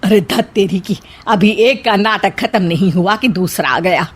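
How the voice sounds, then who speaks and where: A woman's voice: medium pitch, measured pace, breathy edge. A woman voicing an exasperated middle-aged mother-in-law in a cartoon, sarcastic and scolding.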